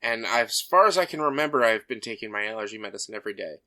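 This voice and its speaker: A man with a mid-pitched, bright voice talks loosely on a podcast, leaning on fillers as he goes. He also runs through the agenda for the episode. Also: expressive, very fast. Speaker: podcast co-host. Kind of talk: casual chat.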